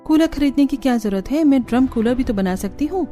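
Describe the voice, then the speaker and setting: A woman acts out a cheerful young village daughter-in-law for a cartoon moral story. She sounds earnest and resourceful, pitched a little high, with a gently mellow edge. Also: animated, fairly quick, faint music behind. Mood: eager.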